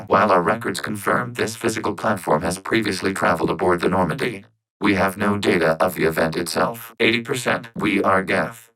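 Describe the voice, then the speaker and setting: A low-voiced male robot with a synthetic, filtered sound. The delivery is formal and measured, each statement precise and logical. It is a calm, unemotional machine intelligence from a science fiction video game. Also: rough recording.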